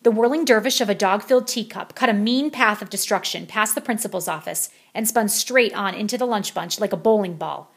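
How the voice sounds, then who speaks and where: A woman's voice: medium pitch, brisk, gravelly, hardly any pauses, husky. A woman reading a children's picture book aloud as a storyteller.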